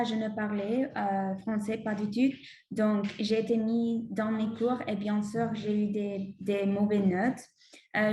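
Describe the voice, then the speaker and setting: Brief: woman playing a halting young immigrant woman, mellow tone, echoey room. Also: mid-range voice, close to an everyday voice. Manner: hesitant, many "euh" fillers. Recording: roomy echo.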